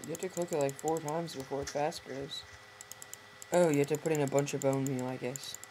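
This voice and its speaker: A hoarse teenage boy with a gravelly, creaky voice rambles through a let's-play, correcting himself and talking to his viewers. He sounds like he is at a home desk mic, with audible background noise and keyboard typing. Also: fairly high pitch, steady tone, fairly quick, mellow. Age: teens. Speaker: gameplay commentator. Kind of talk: casual let's-play commentary. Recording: noisy home recording with typing.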